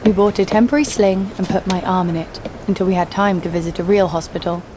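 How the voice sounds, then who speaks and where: A woman's voice: on the low side, steady tone, quick pace, a little mellow, a little hiss or hum, close to an everyday voice. A woman playing a fearless, sardonic bounty hunter who tells her own story with dry, snarky delivery in an audio drama. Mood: wry narrating. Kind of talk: scripted first-person audio drama narration. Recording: music under the voice.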